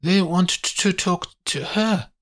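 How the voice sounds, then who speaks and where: Man putting on a high, flustered Italian housemaid. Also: fairly bright tone. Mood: anxious. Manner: breathless, halting, repeating words. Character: flustered Italian housemaid woman rushing in with news.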